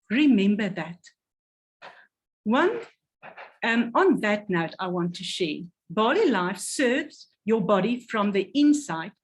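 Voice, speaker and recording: A woman presenting a health product, reading carefully and stumbling over technical words. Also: a little high.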